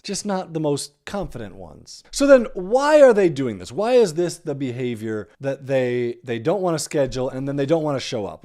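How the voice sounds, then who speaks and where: A man's voice: a little high, mellow. An American man hosting a podcast, giving conversational advice in an emphatic way, repeating key phrases for stress. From United States. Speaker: podcast host.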